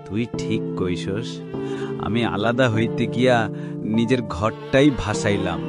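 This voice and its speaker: A man voicing a stubborn, gullible, dreamy village farmer in an animated moral story, talking in rustic colloquial dialect. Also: lowish voice, measured pace, natural voice. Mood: dejected, remorseful. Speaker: voice actor. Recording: loud music under the voice.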